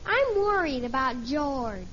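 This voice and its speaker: A woman's voice: high-pitched, big pitch swings, slow, fairly bright tone, exaggerated voice. An actress playing an earnest, blunt little American girl in a 1950s radio sitcom. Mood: worried. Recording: muffled, noisy old radio recording.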